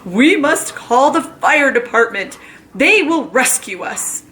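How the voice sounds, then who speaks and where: An anxious storybook husband barks emphatic orders and pretends to be sure of himself, played by a woman reading aloud. The voice is raised and bright, with lively swings in pitch. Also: slow pace, a little hiss or hum, full character voice. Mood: self-assured. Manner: emphatic, commanding, trying to sound sure. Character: bossy, anxious middle-aged husband in a storybook.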